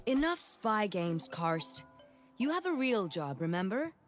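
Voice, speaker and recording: A woman voicing a brisk, no-nonsense female village authority in an animated video game cutscene, firm and scolding. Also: mid-range voice, soft background music.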